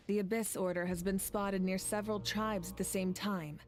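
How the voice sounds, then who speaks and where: An actress playing a composed, authoritative female war leader in an anime game, speaking in a measured way. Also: medium pitch, fairly even intonation, close to an everyday voice. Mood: grave.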